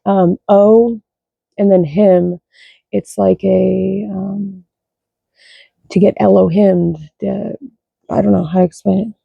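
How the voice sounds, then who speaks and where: A woman with a low voice, chatting loosely and rambling as she reads cards, swearing and thinking aloud. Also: level tone, slow, gravelly, long pauses, slightly rough, dry recording, highs cut off. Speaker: card reader. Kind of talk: casual rambling spiritual chat.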